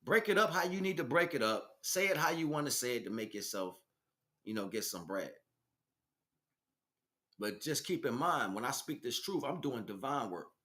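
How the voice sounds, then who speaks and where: A man's voice: mid-range voice, animated, moderate pace, bright, forceful tone. An American man delivering an informal spiritual monologue as a teacher, speaking emphatically in streetwise slang and addressing listeners directly.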